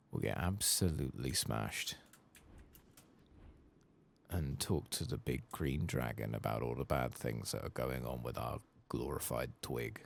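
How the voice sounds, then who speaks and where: Very deep, creaky soft-spoken man. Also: slight background noise. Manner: playful, sweary, self-mocking muttering. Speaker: host of a gaming playthrough channel. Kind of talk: soft-spoken ASMR game commentary.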